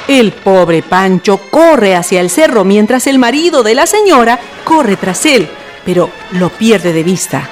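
Lady narrating a radio drama, scripted, lively intonation, bit of music under. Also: medium pitch, measured pace.

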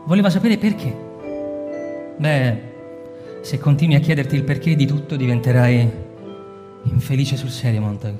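An actor playing a cold, persuasive male fire captain lecturing a subordinate in a radio drama, smooth and insistent, sometimes hushed. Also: medium pitch, normal speed, pauses often, long reverb tail, music in the background, close to an everyday voice.

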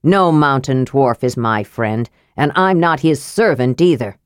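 Storybook dwarf, old male warrior, grumpy, gruff, very low; played by a female reader. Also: animated, a little slow, dry recording, studio quality. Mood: dour. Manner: gruff, dour, snorting.

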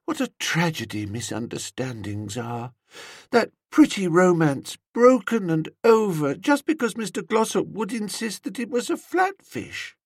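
A man voicing a dreamy, sentimental young woman in an audiobook, with a soft, breathy, sighing delivery. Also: high-pitched, big pitch swings, unhurried, no room sound, clean studio sound, voice pushed up, heavily acted. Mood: wistful, mournful. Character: dreamy, sentimental, wistful young woman, whimsical and slow-witted. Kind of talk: audiobook character voice.

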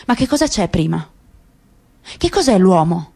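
A woman's voice: on the low side, sing-song, moderate pace, vocal fry, dark tone, breathy edge, voice pushed down, heavily acted. A woman voicing a weary, grieving middle-aged father in a literary radio reading, speaking in a grave, searching way. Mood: bitter, reproachful.

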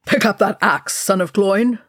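A commanding dwarf warrior, acted by a woman barks impatiently in a storybook tale. The words come forcefully in a close, dry recording. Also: mid-range voice, measured pace, dry recording. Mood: barking, impatient. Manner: barking orders. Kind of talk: dramatic storybook character reading.

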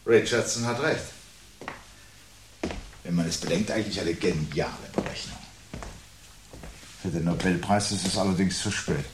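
An actor playing a brooding, articulate man who calmly awaits the world's end in a radio play, speaking in a measured, formal, sardonic way. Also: low voice, lightly acted. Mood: dryly sardonic. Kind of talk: 1970s German radio play acting. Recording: 1970s radio production with audible background noise.

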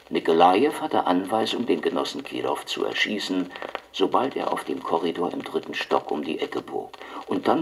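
Very deep, gravelly hardboiled Soviet agent. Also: male voice, exaggerated voice. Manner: deliberate, formal recital. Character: hardboiled Soviet secret police agent, cynically confessing. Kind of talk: radio drama monologue.

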